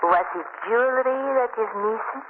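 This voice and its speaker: An actress playing a sly, greedy woman fortune teller in an old-time radio drama, theatrical and mysterious with halting phrasing. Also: fairly high pitch, natural voice. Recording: tinny, noisy old radio recording.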